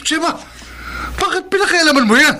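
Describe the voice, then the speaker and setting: A man's voice: very high-pitched, expressive, fairly quick, a little hiss or hum, exaggerated voice, rough recording. An actor playing an angry husband who orders his wife out in a radio drama. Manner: shouting, dismissive.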